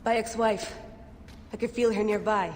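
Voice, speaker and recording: An actress as a headstrong explorer in cavernous reverb. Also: female voice, mid-range voice, steady tone, moderate pace, darkish tone, close to an everyday voice. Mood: intent, wry. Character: driven, headstrong modern female researcher-explorer. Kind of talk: naturalistic video game acting. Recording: long cavernous reverb, faint noise.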